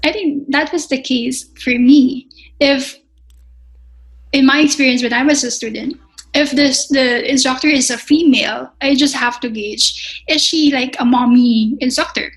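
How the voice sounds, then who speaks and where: A woman's voice: high voice, steady tone, measured pace, rough recording. A young woman chef instructor, answering podcast interview questions thoughtfully with frequent restarts. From Philippines. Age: young adult. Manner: thoughtful, hesitant with many restarts.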